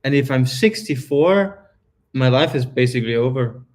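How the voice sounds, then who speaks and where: A man's voice: medium pitch, expressive, moderate pace, darkish tone. A Danish-accented man in his early thirties chats casually on a home livestream. He is self-mocking and dramatic and often trips over his words. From Denmark. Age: 30s. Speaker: vlogger co-host of a livestream.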